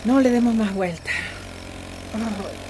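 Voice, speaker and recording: A woman's voice: fairly high pitch, slow, noisy background. A woman playing an angry, fed-up village woman in a radio drama, sarcastic and confrontational as she rants. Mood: exasperated.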